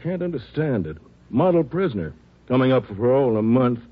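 An actor playing a world-weary, sympathetic prison warden in a 1950s radio drama, plain-spoken and given to short phrases. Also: male voice, medium pitch, big pitch swings, fast talker, slightly rough. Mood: regretful. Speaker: supporting actor. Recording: thin, narrow-band old radio recording.

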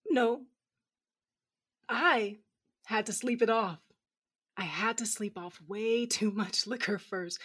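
Drunken cyborg brawler, female, husky, highish voice, unhurried, sardonic, hot-headed, audio drama narration. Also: full character voice. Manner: slurred, sardonic, foul-mouthed tirades. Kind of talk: first-person dramatic narration.

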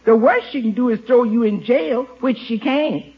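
A woman's voice: on the high side, normal speed, very noisy, lightly acted. A woman playing a loyal, sassy household servant with an American accent in 1950s radio comedy, folksy and emphatic. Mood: reassuring, sassy. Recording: muffled old radio recording.

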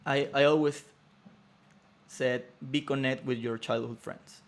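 A man's voice: medium pitch, fairly even intonation, measured pace. A man in his thirties whose first language is Spanish, answering as a podcast guest in short, simple phrases. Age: 30s. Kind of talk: casual interview chat.

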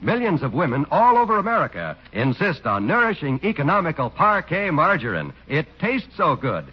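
A man's voice: fairly high pitch, wildly swooping pitch, moderate pace, breathy edge. A man announcing and reading an emphatic, hard-sell commercial on a 1940s radio show. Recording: dull old radio broadcast.

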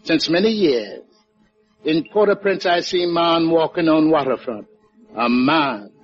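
Loyal calypso musician, higher-pitched, quaint phrasing, vintage radio drama, muffled recording, faint music. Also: male voice, animated, unhurried. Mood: fond. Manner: quaint broken English, singsong storytelling. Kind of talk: 1950s radio drama acting.

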